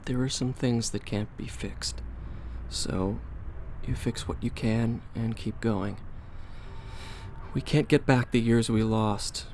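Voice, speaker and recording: An actor in a radio drama playing a gentle, remorseful man, soothing and earnest in his delivery. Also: medium pitch, takes it slowly, a little hiss or hum. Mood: tender. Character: gentle, remorseful man consoling a long-lost loved one.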